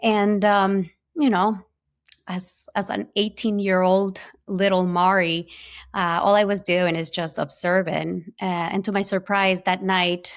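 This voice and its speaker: A woman's voice: mid-range voice, little pitch movement, a little slow. A woman with an Ecuadorian Spanish accent, a physician scientist telling her story in an interview, earnest and full of small restarts. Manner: earnest, many fillers and restarts. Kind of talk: personal storytelling in conversation.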